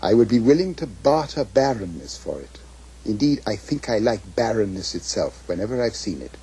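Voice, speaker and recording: An older man with an English accent, reminiscing and reading letters aloud in a measured, emphatic, literary way. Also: lowish voice, big pitch swings, mellow, breathy edge. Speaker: author's son giving reminiscences and readings.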